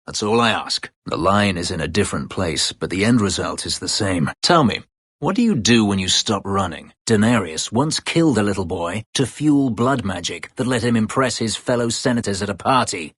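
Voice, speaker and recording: Low husky brooding ex-slave warrior for a video game, guarded n terse, super dry sound. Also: male voice, lively intonation, moderate pace, fairly bright tone, dry recording. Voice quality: husky, gravelly. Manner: terse, formal, brooding delivery. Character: brooding, bitter male former slave warrior, proud and guarded. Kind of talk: video game character dialogue.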